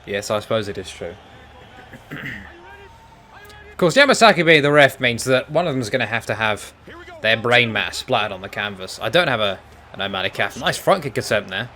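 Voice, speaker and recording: A young man casually commentating a fight video game, excitable and breaking into shouts at big moments. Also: fairly low pitch, a little fast.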